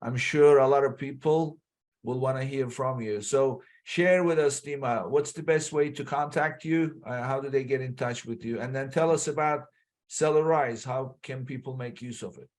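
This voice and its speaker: A male podcast host asks his questions and thanks his guest in a measured, encouraging manner. His voice sits at an ordinary middle pitch, and he keeps an unhurried, conversational pace. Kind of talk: interview hosting.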